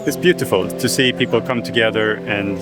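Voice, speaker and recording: Guy, low voice, excited vlog talk over loud music. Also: a little fast. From Sweden. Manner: enthusiastic, reflective. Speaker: filmmaker. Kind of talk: vlog narration. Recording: music playing underneath.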